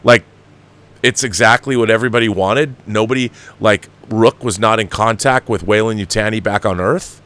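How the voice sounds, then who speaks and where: An American man in his fifties with a fairly low voice gives opinionated commentary as a show host. He speaks emphatically and with exasperation, doubling back on phrases to drive them home. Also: moderate pace, a bit bright. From United States. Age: 50s. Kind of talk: opinionated film commentary, reading viewer questions.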